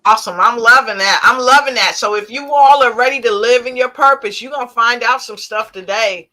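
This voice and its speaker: A woman's voice: fairly high pitch, a little fast, sharp tone. A woman hosting an online talk show over a video call, upbeat and enthusiastic as she introduces her guests. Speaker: talk show host and author.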